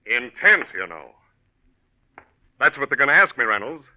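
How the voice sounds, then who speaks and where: A man playing a shrewd, knowing police detective in a 1940s radio drama, speaking in an insinuating, deliberate way. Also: medium pitch, big pitch swings, lightly acted. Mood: knowing, probing. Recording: dull vintage radio recording.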